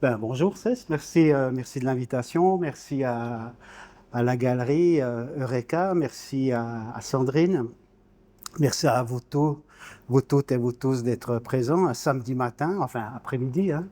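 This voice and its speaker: A man's voice: mid-range voice, measured pace, dark tone. A man in his sixties from Switzerland, an interview guest talking informally, searching for words and repeating small ones as he goes. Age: 60s. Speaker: guest, painter.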